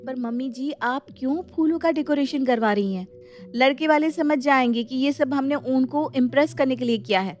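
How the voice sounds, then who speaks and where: A woman puts on a sensible young daughter-in-law in a cartoon family tale. Her voice is high and lively as she reasons and protests, with music behind. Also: normal speed, natural voice. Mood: exasperated. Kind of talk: animated Hindi moral story acting.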